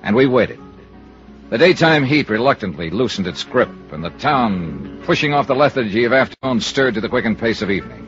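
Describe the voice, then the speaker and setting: A muffled old radio drama recording carries a tough American investigator. He is cynical and clipped, with lively, swinging intonation and a sardonic edge. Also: medium pitch, a little fast, soft background music. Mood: dry narrating. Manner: clipped, sardonic, hard-boiled. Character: tough, cynical male freelance insurance investigator. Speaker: lead actor and narrator. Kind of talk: 1950s radio detective acting.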